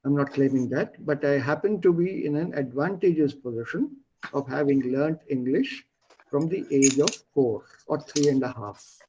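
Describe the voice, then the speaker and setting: A man with an Indian accent, a native Gujarati speaker, teaching an online German class in English over a video call, explaining patiently and slowing down to stress key words. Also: fairly high pitch, dark tone, a little hiss or hum, rough recording.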